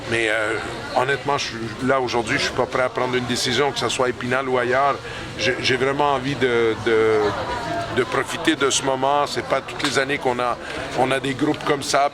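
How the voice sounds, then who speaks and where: A middle-aged hockey coach, answering post-game interview questions slowly, with many pauses and restarts. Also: male voice, medium pitch. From Canada. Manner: hesitant, many fillers and restarts. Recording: loud crowd chatter behind.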